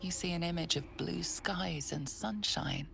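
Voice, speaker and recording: An actress playing the grave storyteller of a dark fantasy game, narrating in a measured, hushed and ominous way. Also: female voice, on the low side, gravelly, heavily acted. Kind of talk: scripted second-person game narration.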